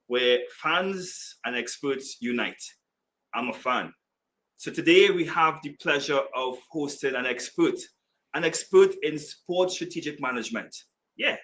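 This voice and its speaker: Man from Trinidad and Tobago, podcast host, slightly higher voice, formal and earnest. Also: a bit bright. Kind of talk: podcast interview.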